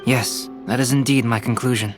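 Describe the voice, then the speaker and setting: A man voicing a calm, analytical young scholar in a video game, articulate and courteous, reasoning in a measured way. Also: mid-range voice, over loud music, close to an everyday voice. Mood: analytical, composed.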